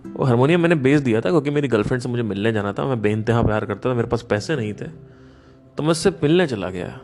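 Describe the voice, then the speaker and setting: A man hosting a podcast, giving a casual spiritual talk in an emphatic, animated storytelling manner. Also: mid-range voice, fast, faint music behind. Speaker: podcast host.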